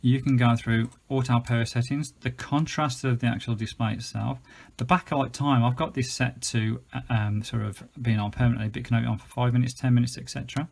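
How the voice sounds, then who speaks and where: A man's voice: mid-range voice, fairly even intonation, brisk, vocal fry, hardly any pauses, darkish tone. A British man giving a casual, unscripted product demonstration as a video host, chatty and informal, with some hesitancy. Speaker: gadget reviewer and video host.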